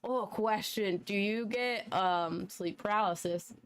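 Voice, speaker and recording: A woman's voice: mid-range voice, takes it slowly. A young woman who is losing her voice, chatting casually and laughing often as she hosts a live tarot reading stream. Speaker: tarot reader and livestream host. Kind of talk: casual livestream chat.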